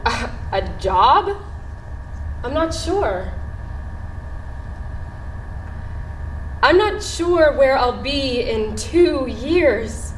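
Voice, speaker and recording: An earnest young-at-heart romantic woman in a stage play. Her voice is high and she takes her time, projecting theatrically. It reaches the listener from a distance in an echoey theatre. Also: expressive, extremely slow, slight background noise, full character voice. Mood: uneasy, defensive. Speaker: stage actress. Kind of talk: live stage play acting. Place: indoor theatre stage, far from microphone.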